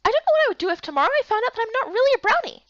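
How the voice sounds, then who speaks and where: A small, eager brownie girl for a visual novel. The voice sits very high and swings up and down with chatty, bubbly enthusiasm. The recording is close and a little dull. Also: very animated, rapid-fire, fairly bright tone, dry recording, heavily acted. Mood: chirpy, eager.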